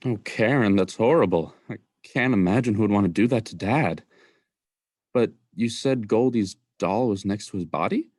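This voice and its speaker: A man's voice: fairly low pitch, moderate pace, darkish tone, slightly rough, no echo, clean studio sound. A man playing a slightly sleazy salesman uncle in a student radio play, casual and defensive. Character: lightly sleazy middle-aged stolen-watch salesman uncle.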